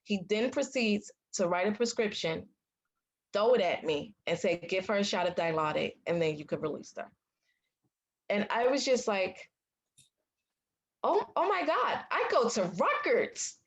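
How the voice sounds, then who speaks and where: A young woman from the United States, a patient advocate, telling her story in a casual interview, outspoken and animated. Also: medium pitch, a little slow, husky. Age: young adult. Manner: animated, acts out quoted dialogue; loud, outspoken.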